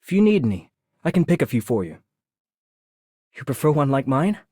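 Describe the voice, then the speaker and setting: An actor playing a polite, composed gentleman merchant in a video game, courteous and measured. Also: mid-range voice, sing-song, breathy edge, no room sound, studio quality. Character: polite, composed gem-selling gentleman, quietly modest. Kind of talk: video game character voice line.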